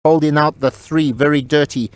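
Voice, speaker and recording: A man's voice: on the high side, steady tone, normal speed, clean tone, no echo. A man reading scripted story narration as an audiobook narrator, close on the mic.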